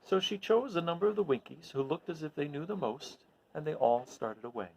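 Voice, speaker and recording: A man reading a children's story aloud expressively, in an animated way that addresses his listeners. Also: fairly high pitch, fairly quick, vocal fry, slightly rough, rough recording. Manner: animated, recapping with questions to listeners.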